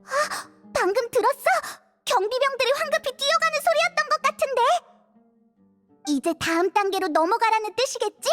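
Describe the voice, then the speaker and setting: A woman voicing a small, excitable childlike sidekick girl in a video game, chattering and full of exclamations. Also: very high voice, a little fast. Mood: excited, relieved. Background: background music.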